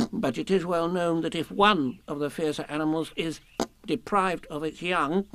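Timid tiny male pig, soft and hesitant, as a man puts him on. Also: a little high, vocal fry, slight background noise, pitched above the performer's own voice.

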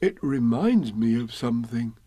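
Guy doing a gentle dim little bear from a storybook, mild and dreamy, medium pitch, close dry recording. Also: expressive, unhurried, slightly rough, no room sound. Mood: solemn. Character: humble, slow-witted, dreamy, gentle male toy bear. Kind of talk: storybook character voice.

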